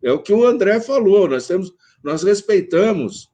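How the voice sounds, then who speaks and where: A man from Brazil with a Brazilian Portuguese accent, speaking at length as a panel guest in a live online conversation, with many fillers and pauses. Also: high-pitched, animated, mellow. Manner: rambling, hesitant, many fillers. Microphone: video call.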